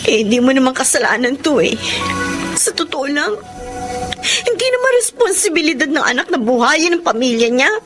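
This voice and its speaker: A very high, bright young woman played by an actress as a dutiful but resentful eldest daughter in a Filipino melodrama. Her delivery is emotional and swings widely in pitch, with music behind it. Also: big pitch swings, normal speed. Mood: hurt, tearful. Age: young adult. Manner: emotional, reproachful, pleading.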